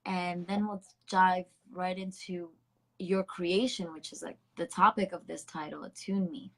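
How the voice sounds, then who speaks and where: A woman's voice: on the low side, steady tone, unhurried. A woman hosting an online interview, chatty and informal, making light of her own introduction. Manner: chatty, informal, self-deprecating. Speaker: host.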